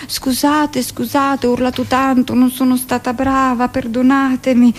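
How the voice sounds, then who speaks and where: A woman's voice: high voice, little pitch movement, measured pace, voice pushed up. A woman voicing a meek, pleading new mother who apologizes and gives thanks in a radio drama monologue. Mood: apologetic, grateful. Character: grateful, apologetic young mother after childbirth, pleading. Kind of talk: acted quote in a theatrical monologue.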